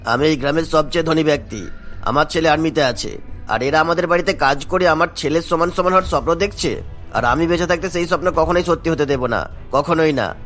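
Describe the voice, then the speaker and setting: A man's voice: a little high, fairly quick, fairly bright tone, lightly acted. Man playing scornful, domineering rich village patriarch. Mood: spiteful, vowing. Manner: dismissive, scolding, boastful. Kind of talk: cartoon voice acting. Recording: background music bed.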